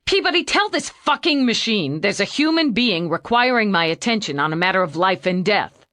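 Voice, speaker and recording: A woman's voice: mid-range voice, big pitch swings, moderate pace, pushed and bright, dry recording, studio quality, pitched above the performer's own voice. A woman voicing a tough female homicide lieutenant who gives blunt, curt orders. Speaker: police lieutenant.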